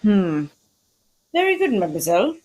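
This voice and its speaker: A woman playing a fussy, courtly Belgian male detective in a radio mystery, speaking formally and deliberately, with theatrical precision. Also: medium pitch, sing-song, a little mellow. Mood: shrewd, assured. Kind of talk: old-time radio mystery script reading.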